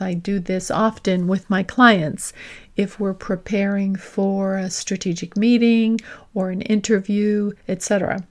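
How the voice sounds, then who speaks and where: A woman career coach hosting an instructional podcast, speaking deliberately and stressing her key phrases as she explains points and example dialogue. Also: medium pitch, a little mellow.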